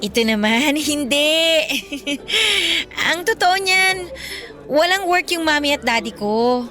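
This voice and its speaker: Bright, high-voiced nervous schoolgirl. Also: lively intonation, takes it slowly, soft background music, exaggerated voice. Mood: nervous, evasive. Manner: giggly, hesitant, evasive. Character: nervous, fibbing teenage schoolgirl hiding her poor background. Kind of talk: radio drama acting.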